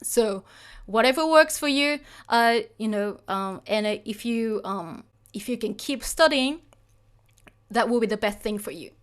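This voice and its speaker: Hesitant Japanese-accented woman, clear voice. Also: fairly high pitch, animated, measured pace. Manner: hesitant, lots of fillers and restarts, apologetic. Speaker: Japanese teacher hosting a live Q&A. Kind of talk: live stream Q&A, answering chat.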